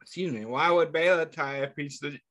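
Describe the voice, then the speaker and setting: A man reading a picture book aloud to children, pausing to ask them gentle questions between passages. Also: a little high. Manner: slow, pausing reading with small slips. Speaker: children's storyteller. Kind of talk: read-aloud storytime.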